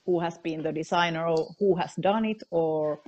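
Finnish woman interviewer, lower voice. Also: a little mellow, dull audio. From Finland. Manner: halting, restarts words, searches for English. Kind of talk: live video interview. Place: indoors at home, video call. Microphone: earphone mic on a video call.

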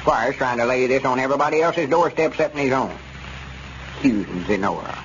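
A man's voice: mid-range voice, brisk, natural voice. Man playing folksy suspicious Southern old-timer. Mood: suspicious, indignant. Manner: folksy, rustic, ungrammatical speech. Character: stubborn old rural storekeeper and justice of the peace, suspicious. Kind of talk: 1930s radio comedy acting. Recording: muffled, noisy old radio broadcast.

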